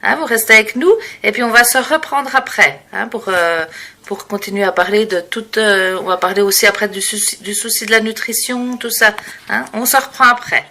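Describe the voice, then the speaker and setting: Woman, radio interviewer, bright medium-pitched voice, loose conversational delivery with small tag questions. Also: normal speed. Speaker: radio host.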